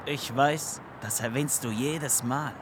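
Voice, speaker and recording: A man's voice: medium pitch, takes it slowly, a little hiss or hum, close to an everyday voice. A man playing a sensible police detective in a comedic audio drama, with a dry, matter-of-fact delivery. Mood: exasperated.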